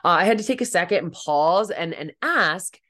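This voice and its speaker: A young woman with a raspy, somewhat deep voice, hosting her own coaching podcast in a blunt, direct, casual way. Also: animated, normal speed, a bit bright. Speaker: podcast host and life coach. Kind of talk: casual solo podcast monologue.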